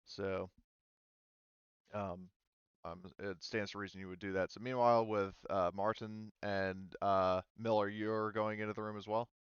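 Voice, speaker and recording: Low, smooth-voiced man running a game. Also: little pitch movement, a little slow, dry recording, dull audio. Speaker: game master. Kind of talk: casual tabletop game narration.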